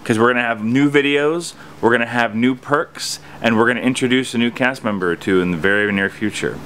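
A man's voice: mid-range voice, a little fast, fairly bright tone. A man with a mid-range voice delivers an upbeat campaign update straight to camera. He is outdoors, fairly close, with faint noise in the background. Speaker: filmmaker giving campaign update. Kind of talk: upbeat scripted appeal to camera. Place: backyard, outdoors.

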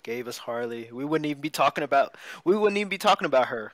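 A man's voice: medium pitch, lively intonation, fast talker, fairly bright tone, no echo. A young adult man co-hosting a podcast in casual, slangy talk, often repeating his phrases. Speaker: podcast co-host. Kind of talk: casual chat.

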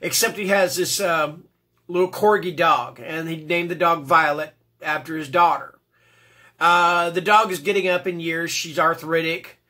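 A man's voice: on the high side, fairly even intonation, measured pace, pushed and bright. A man in his fifties with a stuffy, congested voice, hosting a rambling casual book review from a small room at home, full of fillers and restarts. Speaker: book reviewer, channel host. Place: small dim home room, seated indoors.

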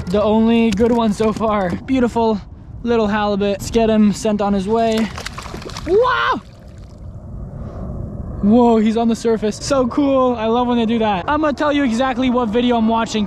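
A young man fishing from a kayak on open water, chatting casually to an action camera and breaking into excited exclamations. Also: high-pitched, a little hiss or hum. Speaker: kayak angler. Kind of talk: casual fishing vlog commentary. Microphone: action camera mounted on the kayak.

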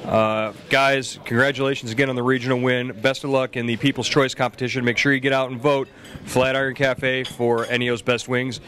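A man, hosting a casual food interview on a handheld mic in a pub, relaxed and chatty with lots of fillers. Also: mid-range voice, fairly quick. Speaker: food show host. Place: pub dining room, indoors.

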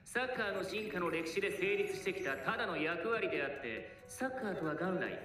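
An actor playing an arrogant, contemptuous soccer coach in an anime, lecturing in a condescending manner. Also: male voice, high voice, animated, normal speed. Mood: intense lecturing. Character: arrogant, contemptuous male soccer coach running a harsh program. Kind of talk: anime voice acting. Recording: distant, echoey, loud music behind.

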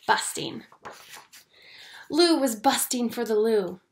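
A woman in her twenties reading a children's story aloud in an expressive, theatrical way. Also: on the high side, slow, gravelly, slight background noise. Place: small indoor home room. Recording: echoey small room.

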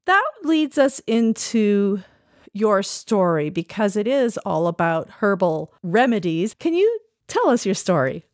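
A woman hosts a podcast interview with lively, varied intonation. She sounds conversational and prepared. The recording is dry and free of room sound. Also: medium pitch, a little slow, no echo. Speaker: podcast segment host, interviewer. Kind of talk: conversational interview with read-out passages.

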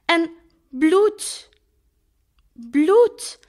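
Woman doing a wistful little talking flower, flemish accent, high voice, takes her time, audio drama monologue. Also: very slow, exaggerated voice. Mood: horrified, agitated. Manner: colloquial, expressive, lingering over words. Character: talking poppy flower, wistful, naive, female storyteller of war.

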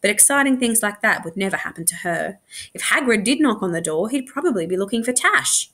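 Female story reader, middle-aged, lively intonation for children's fiction. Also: medium pitch, fairly quick. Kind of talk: expressive audiobook-style read-aloud.

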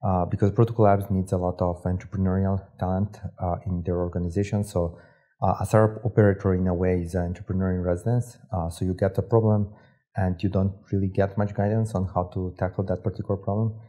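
Young Serbian-born man, deep relaxed voice, hesitant interview guest. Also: fast. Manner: hesitant, many fillers and restarts. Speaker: guest, startup operator. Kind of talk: casual interview talk. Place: studio set with armchairs.

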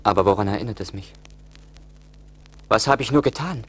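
An actor playing an emotional, plaintive young charcoal burner full of remorse in a radio play. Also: male voice, fairly low pitch, moderate pace, a bit bright, slightly rough. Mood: anguished remorse. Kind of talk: theatrical radio play acting.

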